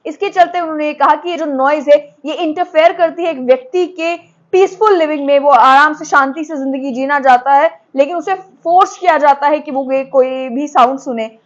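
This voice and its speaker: A woman's voice: high voice, normal speed. A woman news presenter. She delivers explanatory commentary, slipping English technical terms into her sentences. The audio is slightly dull and lo-fi.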